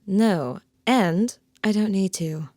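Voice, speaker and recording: A woman playing a prim, earnest theme park professional in an audio drama, speaking in a measured, reserved way. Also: medium pitch, mellow, no echo, studio quality, close to an everyday voice.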